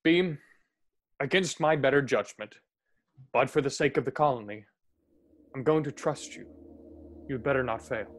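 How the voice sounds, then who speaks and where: A man's voice: mid-range voice, close to an everyday voice. Stern young starship captain acted by a man. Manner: authoritative, deliberate. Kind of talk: radio play acting.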